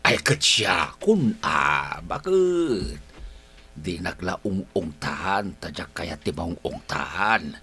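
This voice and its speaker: An actor playing an old Filipino husband and father in a radio drama, speaking haltingly with hums and murmurs. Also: low voice, big pitch swings, pushed and bright, husky, heavily acted. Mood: grumbling.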